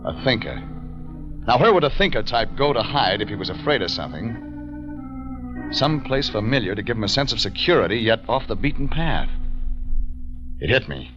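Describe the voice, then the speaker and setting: A man playing a tough, wry private detective in a 1940s radio drama, clipped and deadpan in both narration and dialogue. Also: medium pitch, moderate pace, long pauses. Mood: narrating, dry. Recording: dull vintage radio, organ music behind.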